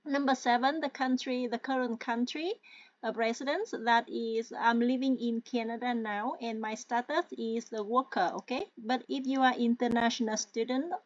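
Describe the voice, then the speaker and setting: A woman talks through a tutorial with patient, hesitant pacing and frequent check-ins with her listener. Her voice sits fairly high and sounds dry and close, with no room around it. The recording itself is dull and a little lo-fi. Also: steady tone, moderate pace, dry recording. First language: Vietnamese. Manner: hesitant, with many fillers. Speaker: tutorial presenter. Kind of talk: step-by-step screen-share walkthrough.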